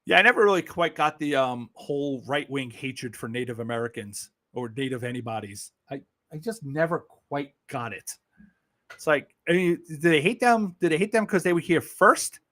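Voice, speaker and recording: New York man, livestream host; blunt, sarcastic, swears freely, slightly high pitch. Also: animated, moderate pace, bright, forceful tone. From Brooklyn, New York. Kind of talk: casual live-stream chat.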